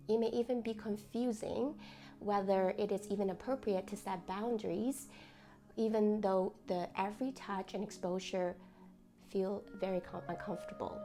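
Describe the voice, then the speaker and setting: Gentle woman guiding meditation over music. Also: mid-range voice. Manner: gentle, deliberate, reassuring. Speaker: meditation guide, host. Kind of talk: guided meditation, scripted narration. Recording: music under the voice.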